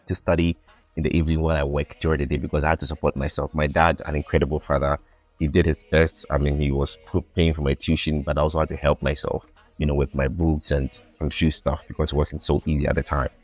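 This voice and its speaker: A man's voice: a bass voice, level tone, fast. A young man from Ghana, a podcast guest telling his life story in an earnest, reflective way and often repeating words while he finds his phrasing. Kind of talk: casual interview storytelling.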